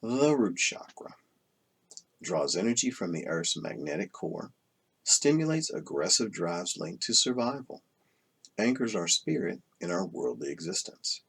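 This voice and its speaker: Very deep, gravelly male book narrator. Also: very animated, measured pace, fairly bright tone. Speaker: audiobook reader. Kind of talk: scripted narration, reading a self-help book aloud.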